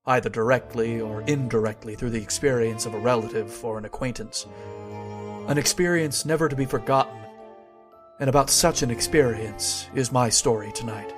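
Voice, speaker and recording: Man acting somber, measured horror-tale narrator. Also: mid-range voice, over music, natural voice. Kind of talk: old-time radio drama narration.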